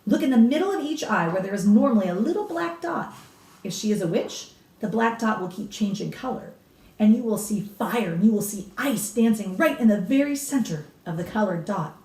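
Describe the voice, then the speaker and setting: A woman's voice: mid-range voice, expressive, fairly quick, warm tone, close to an everyday voice. A woman reading a storybook as a grave, deadly serious elderly grandmother who stretches key words, in a roomy, distant recording. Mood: grave, emphatic. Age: older. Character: grave elderly grandmother solemnly warning about witches. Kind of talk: dramatic children's storybook reading. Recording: roomy echo, distant mic.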